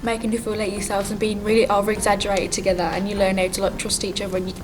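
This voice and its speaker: A woman's voice: mid-range voice, flat delivery, very fast. A teenage female drama student chatting informally in an interview, peppering her sentences with "like". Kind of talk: casual interview chat. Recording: clear background noise.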